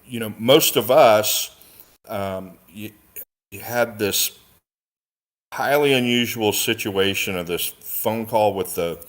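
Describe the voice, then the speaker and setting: A man in a casual discussion, speaking haltingly as he searches for his words. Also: lowish voice. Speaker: discussion participant on a stream.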